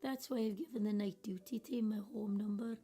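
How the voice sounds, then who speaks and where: A woman's voice: medium pitch, steady tone, a little fast, dark tone, breathy edge, faint music behind, lightly acted. A woman playing a judgmental but conscientious care worker, reflecting bitterly in a radio play monologue. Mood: bitter, reflective.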